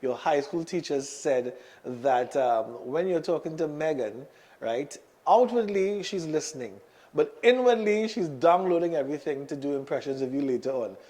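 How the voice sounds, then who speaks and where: A man's voice: a little high, lively intonation, normal speed, darkish tone. A man hosting a talk show, chatting in an easygoing way with plenty of fillers. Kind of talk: casual interview chat.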